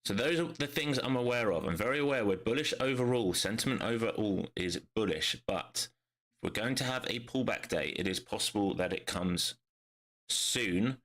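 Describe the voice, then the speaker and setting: A man with a low voice talks through the charts in a brisk stream of live commentary, thinking aloud as he goes. His tone is bright and forceful, but a head cold leaves him nasal and bunged up. He speaks close to a desk microphone. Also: expressive. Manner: brisk, thinking aloud, frequent "all right?" tags. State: congested with a cold. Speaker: day trader streaming live market analysis.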